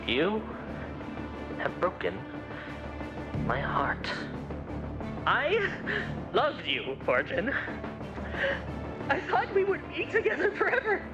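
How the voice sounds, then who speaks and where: A man's voice: extremely high pitch, lo-fi sound, exaggerated voice. An actor playing a self-pitying, delusional male internet troll and grand wizard in a theatrical, whiny game performance. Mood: heartbroken, raging. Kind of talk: exaggerated video game cutscene acting. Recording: loud music behind the voice. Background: music.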